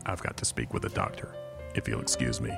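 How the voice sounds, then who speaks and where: A man playing a stern, officious old eyeball inspector in an audio comedy, very deep and gravelly, with formal, clipped speech. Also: expressive, fast, loud music behind the voice, full character voice. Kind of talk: audio comedy drama acting.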